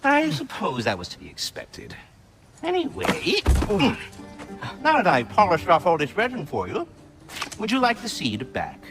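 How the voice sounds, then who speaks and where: An actor voicing a fussy, effusive talking squirrel with florid, genteel diction, like a refined gourmand in a video game. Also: male voice, high voice, wildly swooping pitch, normal speed, music in the background, exaggerated voice. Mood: genteel, theatrical. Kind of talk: video game character acting.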